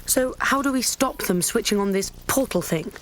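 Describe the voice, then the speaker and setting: A woman's voice: medium pitch, moderate pace, a little hiss or hum, lightly acted. A woman with a British accent playing a plucky, wry young adventurer in an audio drama, arch in manner. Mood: hushed, plucky.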